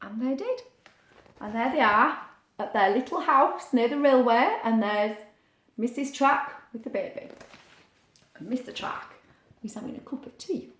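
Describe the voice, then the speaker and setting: A woman reading a picture book aloud to young children, expressive, with chatty asides and questions. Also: fairly high pitch, measured pace, long pauses. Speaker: children's story reader. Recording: roomy, echoing sound.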